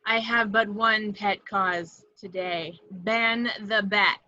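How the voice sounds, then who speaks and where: A woman's voice: mid-range voice, steady tone, slow, pushed and bright, dull audio. A woman playing a sly, vengeful villainess with a seductive, dry manner, in an amateur film script read. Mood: coolly seductive. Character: sultry, vengeful, sly female cat-themed villainess.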